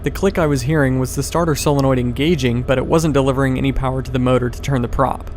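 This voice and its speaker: A man's voice: medium pitch, fairly even intonation, fast, a little mellow, a little hiss or hum. A man reading a scripted voice-over as a pilot and video host.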